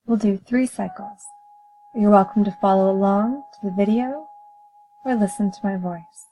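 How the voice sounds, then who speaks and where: A woman leading a guided meditation in a calm, soothing, measured manner with gentle pauses. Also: medium pitch, soft background music, rough recording.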